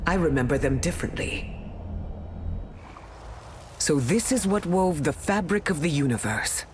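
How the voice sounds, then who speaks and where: An actress playing a solemn, ancient mystic hero in a video game. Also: female voice, low-pitched, animated, measured pace, long reverb tail, slight background noise. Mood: wondering, grave. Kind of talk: game voice acting.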